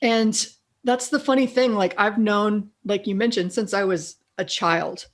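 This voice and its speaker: Raspy-voiced woman telling stories. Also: a little slow. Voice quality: deeper raspy voice. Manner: animated storyteller, laughs often. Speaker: guest, copywriter. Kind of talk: casual interview chat.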